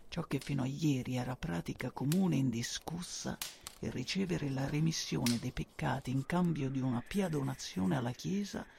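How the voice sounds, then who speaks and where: A man's voice: fairly high pitch, gravelly, darkish tone, a little hiss or hum. A man acting a devoted, servile informer who formally and deferentially reads out secret reports to his lord in a radio drama. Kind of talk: radio drama letter narration.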